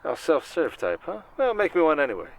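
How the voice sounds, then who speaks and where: Man acting a slick, higher-voiced charming conman. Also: huge pitch swings, fairly quick, creaky, exaggerated voice, low-quality recording. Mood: breezy, cocky. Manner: slick, suave, old-fashioned wiseguy endearments. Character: smooth, cocky male Vegas conman, charming and flirtatious. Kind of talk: ASMR roleplay acting, intimate monologue.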